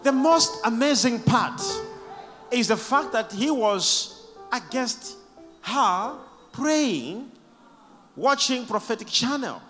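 A high, animated preaching voice from a man who draws out his words slowly and then bursts into shouted blessings. He sounds like he is in a large, echoing church hall with music playing under him. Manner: emphatic, shouts blessings, swings between loud and soft. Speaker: prophet leading a church service. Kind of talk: preaching and interviewing testifiers.

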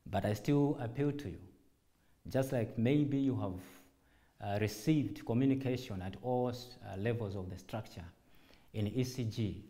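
A man speaking as a church leader in a formal public address, deliberate and emphatic, in short measured phrases. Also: medium pitch, mellow. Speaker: church director and apostle giving a public address.

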